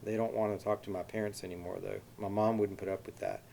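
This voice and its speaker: A man's voice: on the low side, flat delivery, quick pace, vocal fry, warm tone, a little hiss or hum. A man voicing a blunt, indignant young woman standing up for her friend.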